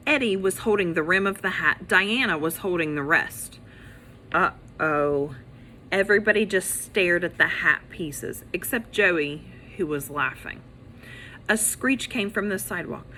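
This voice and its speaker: A woman reading a children's story aloud as a teacher, in an expressive, animated way that acts out the characters. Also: medium pitch, a little hiss or hum.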